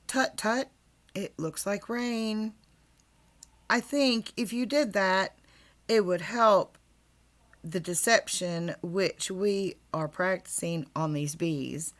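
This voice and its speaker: A woman voicing a small male toy bear in a storybook, earnest, self-important and a little muddled, speaking deliberately. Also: medium pitch, fairly bright tone, voice pushed up. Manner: deliberate, earnest, slightly pompous.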